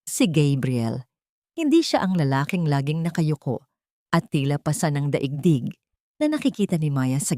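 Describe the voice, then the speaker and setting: A woman reading a dramatic scripted story in a solemn, deliberate manner. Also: deep voice, darkish tone, no echo, clean studio sound.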